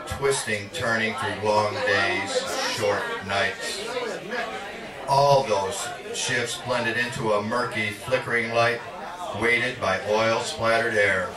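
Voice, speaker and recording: A man reading poetry aloud at a live reading in a measured, dramatic spoken-word delivery. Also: mid-range voice. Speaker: poet. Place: indoor literary cafe reading. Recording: echoey, distant live recording with audience. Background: audience sounds.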